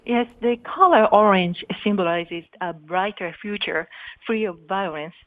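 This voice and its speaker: A woman's voice: medium pitch, no echo. A woman giving formal, prepared interview answers as an official guest over a phone call. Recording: dull, muffled phone-call line.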